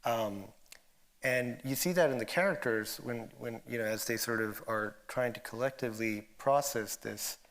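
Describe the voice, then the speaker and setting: A male moderator speaks in an unscripted, academic register. He feels his way through a long question, pausing and rephrasing thoughtfully as he goes, at an average male pitch. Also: fairly bright tone.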